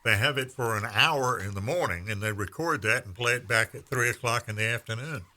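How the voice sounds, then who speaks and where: A man, telling stories in casual conversation with measured pauses. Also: medium pitch, sharp tone. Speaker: veteran radio broadcaster. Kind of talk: casual storytelling chat.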